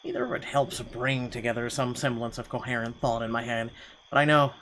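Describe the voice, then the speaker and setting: A man's voice: mid-range voice, expressive, brisk, noisy background. A man reading first-person narration from a game aloud in a dramatic storytelling style. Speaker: gaming channel host reading a visual novel aloud.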